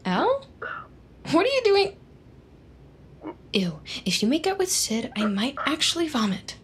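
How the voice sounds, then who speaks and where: An actress playing an awkward, resentful young office woman in an audio drama, with a halting, exasperated delivery. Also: high-pitched, wildly swooping pitch, full character voice. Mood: disgusted. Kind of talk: naturalistic audio drama acting.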